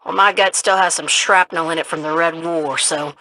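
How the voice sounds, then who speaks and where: A woman's voice: low-pitched, fairly even intonation, normal speed, pushed and bright, no room sound, rough recording. A woman voicing a scrappy, irreverent female pilot in a video game, with a folksy, informal, sardonic manner.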